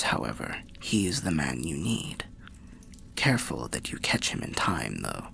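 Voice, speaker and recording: Casting: male performer as ancient undead soul for fantasy roleplay; low-pitched, very animated intonation, softly whispered, genial and unhurried. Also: full character voice. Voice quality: low gravelly voice, half-whispered. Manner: unhurried, musing, archaic fantasy phrasing. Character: old undead soul, genial storyteller by a bonfire. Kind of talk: whispery ASMR roleplay narration.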